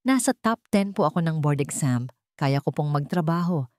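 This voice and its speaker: A woman's voice: low voice, animated, normal speed, a little mellow, dry recording. A woman voicing a humble, desperate young male job applicant in a story, meek and trembling, with a Filipino accent. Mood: nervous, earnest.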